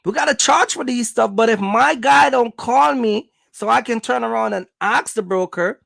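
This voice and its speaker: A man's voice: extremely high pitch, normal speed, vocal fry, a bit bright, dry recording, studio quality. A man hosting a casual Q&A for viewers, giving business advice in colloquial, slangy phrasing and repeating his points for emphasis. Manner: repetitive, emphatic urging.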